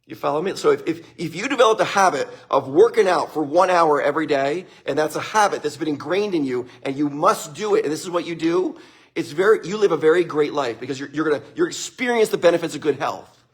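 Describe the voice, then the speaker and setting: Upbeat husky man giving a motivational talk. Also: a little high, animated, fast. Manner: upbeat, greets viewers by name, laughs often. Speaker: motivational speaker. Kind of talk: live-streamed motivational talk.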